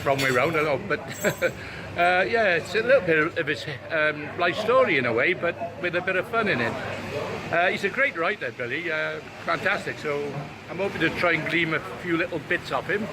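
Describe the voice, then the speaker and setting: A man's voice: a little high, lively intonation, moderate pace. An older man from Wales, chatting casually as an interviewer, laughing often and filling his talk with ums and uhs. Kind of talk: casual chat. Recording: outdoor street recording with crowd noise.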